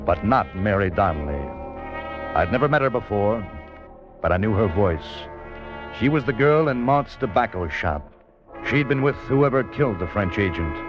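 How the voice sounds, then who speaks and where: An actor with an American accent playing a dry, casual secret agent in a 1950s radio drama. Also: male voice, on the low side, expressive, measured pace, pauses often. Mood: tense narration. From United States (Vermont). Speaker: lead actor and first-person narrator. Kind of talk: 1950s radio drama acting, hard-boiled narration. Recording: dull 1950s radio recording, music behind.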